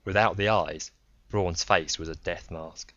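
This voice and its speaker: A man's voice: low voice, fairly even intonation, unhurried, fairly bright tone, dry recording. A man narrating a science fiction audiobook as a scripted reading. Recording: slightly dull close dry recording.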